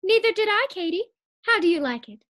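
A woman's voice: very high-pitched, big pitch swings, brisk. A woman acting a scheming, cheerful, scatterbrained housewife in a 1940s-style radio sitcom. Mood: chirpy.

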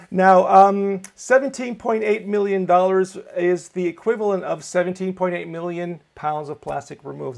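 A man's voice: a little high, gravelly. A middle-aged man from Greece, hosting a live online show from a small video studio with enthusiastic energy. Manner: upbeat, enthusiastic presenter. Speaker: host.

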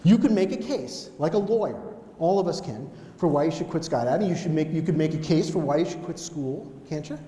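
A middle-aged American man giving a conversational motivational talk on stage, telling stories in an animated manner. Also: on the high side, brisk, few pauses. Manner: animated, conversational, acts out dialogue. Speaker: keynote speaker. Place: on stage in an auditorium. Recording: echoey hall with background noise.

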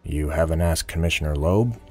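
An actor playing an earnest, guarded, weary police detective in an audio drama, dry and understated. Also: male voice, extremely low pitch, fairly quick, darkish tone. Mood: guarded, respectful. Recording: reverberant hall sound, music behind.

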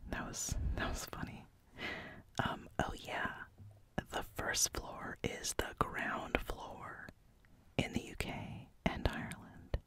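American vlogger for whispered ASMR chat: fully breathy, slow-paced, casual self-amused musing. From United States. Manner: soft unvoiced whisper, chatty, laughs at self.

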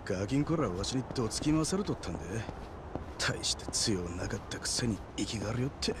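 An actor playing a grumpy, jealous local landlord with a Hiroshima accent and a brusque, rough manner in a video game. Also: male voice, lowish voice, lively intonation, fairly quick, background noise, natural voice. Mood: grumbling. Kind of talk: video game cutscene acting.

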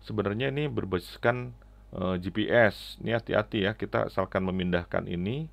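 A middle-aged man giving a casual, halting, step-by-step app walkthrough into a headset mic. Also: lowish voice, vocal fry, no echo. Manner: halting, step-by-step explaining with frequent "ya" tags. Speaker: app reviewer and tutorial presenter. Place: indoors at a computer, keyboard clicks. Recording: slightly dull, lo-fi audio.

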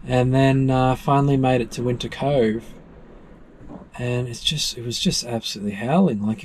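A man's voice: medium pitch, darkish tone, a little hiss or hum, low-quality recording. A worn-out man in his thirties, rambling through a casual recap with lots of ums while lying in the cramped cabin of a small boat. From Australia. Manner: rambling, many ums and restarts. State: exhausted. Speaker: solo sailor vlogger. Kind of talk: tired casual recap to camera. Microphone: camera close in front, lying down.

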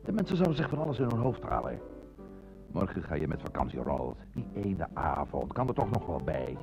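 A man's voice: rumbling low, very animated, fairly quick, over music, full character voice. A man playing a bossy, insistent character, brusque yet coaxing, in a Dutch radio play. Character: bossy, insistent man pressuring a nervous friend. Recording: dull old radio play recording.

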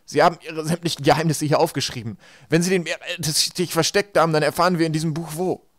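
Man doing Klaus, an urgent bookish boy, raised pitch. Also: steady tone, quick pace, dry recording, clean studio sound, lightly acted. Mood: urgent, strained. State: rough, hoarse from poison.

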